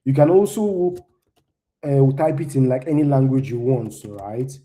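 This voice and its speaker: A man's voice: medium pitch, unhurried, dark tone. A young man from Nigeria, whose first language is not English, gives a loose, conversational live demo talk and keeps tagging his points with "right?". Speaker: conference speaker.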